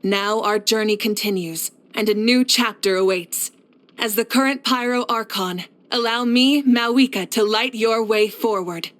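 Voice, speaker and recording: A woman playing a confident, kindly fire archon and war leader in a video game, speaking in an assured, measured, encouraging way. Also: fairly high pitch, fairly bright tone. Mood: solemn, resolute. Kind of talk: scripted video game character voice lines.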